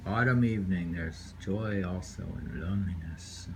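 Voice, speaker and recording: A man's voice: low-pitched, normal speed, very noisy. A man reading short poems aloud and musing on them casually, often repeating phrases. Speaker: poetry reader.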